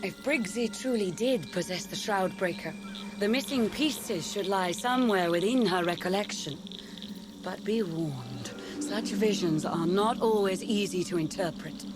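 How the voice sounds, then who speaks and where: A solemn mystic reads visions from old memories in slow, measured tones, as a woman plays her. Her pitch is middling, and a clear music score plays behind her. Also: animated, natural voice. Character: mystic woman of a soul order, solemn and cryptic. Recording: game cutscene with music behind.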